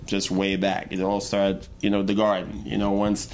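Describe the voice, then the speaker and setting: A man's voice: sits low, monotone, moderate pace, a little hiss or hum. A young man telling his story in a casual interview, rambling with many fillers and restarts. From Trinidad and Tobago. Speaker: guest, hip-hop artist.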